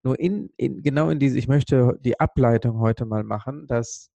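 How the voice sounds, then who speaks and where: A man delivers an earnest motivational monologue in a mellow, relaxed voice at a middling pitch. He leans on key words and pauses to let them land. The sound is dry and close, as on a podcast. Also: creaky, no echo. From Sri Lanka. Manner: earnest, emphatic, pausing for weight. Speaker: speaker on a business podcast. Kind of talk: motivational monologue with personal story.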